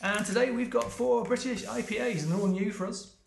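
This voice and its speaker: Fast-talking man hosting a beer podcast. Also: high-pitched, creaky, background noise. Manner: chatty, reads out facts, jokey. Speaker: podcast host. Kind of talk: casual chat. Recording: echoey room.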